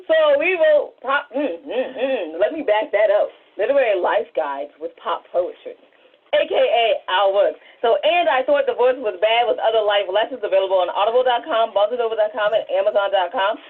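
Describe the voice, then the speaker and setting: A woman's voice: mid-range voice, lively intonation, a little fast, slightly rough. A woman co-hosting a podcast, chatting casually in a rambling, bantering way. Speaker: podcast co-host. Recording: muffled, band-limited lo-fi audio.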